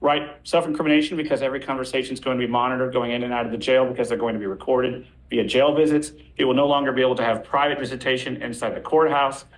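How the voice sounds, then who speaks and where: A man's voice: mid-range voice, steady tone, fast, few pauses, rough recording. A man speaking as a defense lawyer in a courtroom, making an insistent but formal, courteous legal argument.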